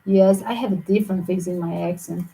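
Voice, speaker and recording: A woman from Southern Europe with a mixed Greek and Yugoslav accent, giving a tarot reading in quick, chatty, non-native English. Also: fairly low pitch, steady tone, vocal fry, warm tone, slight background noise, poor audio. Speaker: tarot reader.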